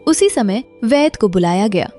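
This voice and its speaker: A woman's voice: medium pitch, animated, normal speed, mellow, faint music behind. A woman narrating a scripted moral story as the storyteller.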